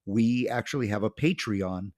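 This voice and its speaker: A man's voice: lowish voice, normal speed. A man hosting a podcast with a cold, talking casually and rambling a bit, with plenty of ums. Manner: relaxed, rambling, many ums. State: has a cold, voice may crack. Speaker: podcast host; pastor and author.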